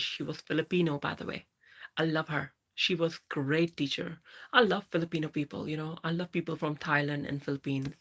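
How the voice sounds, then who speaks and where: Woman host in her 30s, Pakistani English accent, lowish voice, bright edge, chatty comment-reading. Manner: chatty, answers viewers by name. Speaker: livestream host. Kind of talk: casual live chat reading viewer comments.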